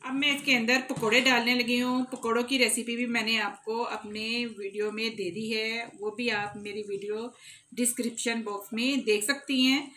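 A woman giving calm, step-by-step cooking instructions in a home kitchen, talking directly to her viewers while she cooks. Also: a little high, slight background noise. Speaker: cooking channel host. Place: home kitchen, off camera over a pot.

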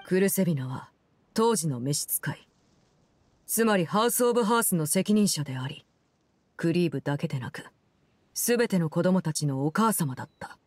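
An actress playing a cold, composed game villainess, telling a story in a measured, solemn and restrained way. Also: female voice, on the low side, animated, darkish tone, no room sound. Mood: grave, composed. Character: cold, composed woman, Fatui Harbinger who runs an orphanage. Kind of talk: scripted game story narration.

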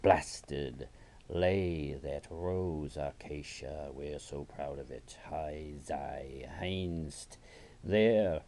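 Guy doing a nasty scheming monk reciting a poem, super low voice, slow and sneery, jumps from outbursts to whispers. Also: mellow, exaggerated voice. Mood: gleeful malice. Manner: sneering, theatrical, swinging from outbursts to hisses and whispers. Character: spiteful, scheming Spanish monk seething with hatred. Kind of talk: dramatic poetry recitation.

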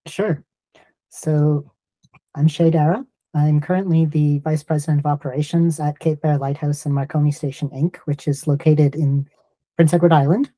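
A middle-aged man, explaining things in a measured, informative way as an interview guest on a video call. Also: on the high side, flat delivery, dark tone, no room sound, poor audio. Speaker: guest, lighthouse organisation executive.

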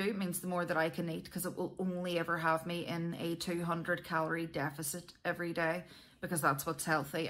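Bright-voiced Northern Irish woman, chatty. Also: lowish voice. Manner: chatty, candid, self-deprecating. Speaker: vlogger. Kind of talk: casual chat to viewers.

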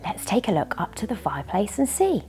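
A British woman in her thirties reading a storybook to young listeners in an animated way, indoors in a living room. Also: mid-range voice, a little fast, faint music behind. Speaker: children's story reader and host. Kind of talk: expressive children's storybook reading. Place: furnished living room by a fireplace.

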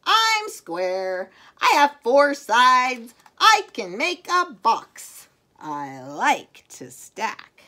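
A woman reads as a confident little square at an audition. The voice is bright and slow, plain and pleased with itself. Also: fairly high pitch, wildly swooping pitch, full character voice. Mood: self-satisfied.

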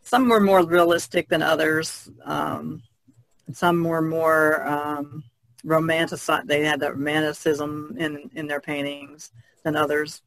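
A woman's voice: low-pitched, little pitch movement, measured pace, no echo, rough recording. A woman from New Jersey chatting casually as a podcast panelist. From New Jersey, United States.

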